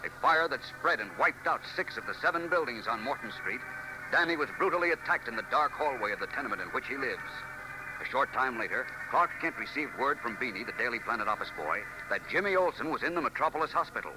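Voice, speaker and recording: A man's voice: medium pitch, expressive, quick pace, low-quality recording. A man narrating a 1940s radio drama in a grave, emphatic voice. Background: music.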